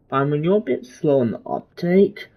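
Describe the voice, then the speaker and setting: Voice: medium pitch, animated, a little slow, vocal fry, crowd noise. Casual game streamer, slightly dull-sounding mic. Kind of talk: casual playthrough, reading dialogue aloud and commenting.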